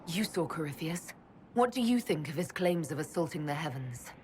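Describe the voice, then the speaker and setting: An actress playing a young, skeptical elf mage hero in a fantasy video game, with a dry, pragmatic manner. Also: female voice, sits low, expressive, normal speed, a little mellow, a little hiss or hum. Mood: doubtful, firm. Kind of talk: dramatic video game dialogue.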